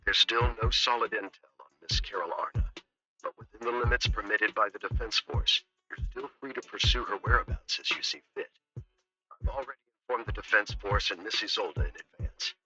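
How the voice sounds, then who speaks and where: A composed, shrewd game mayor, with a low but very bright, forceful voice. He speaks formally and diplomatically in measured sentences, over faint music with slightly dull audio. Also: husky, full character voice. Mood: composed, courteous. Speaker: mayor briefing agents. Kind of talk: scripted video game voice acting.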